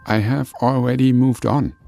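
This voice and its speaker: A man speaking a reflective voice-over in a calm, introspective, musing way. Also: medium pitch, normal speed, vocal fry, no echo. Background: music.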